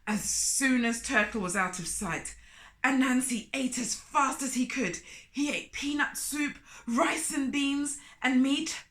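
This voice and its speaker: Children's storyteller: woman, high and very animated, unhurried, asking questions and commenting on the characters, echoey room. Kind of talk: expressive story reading with asides to young listeners.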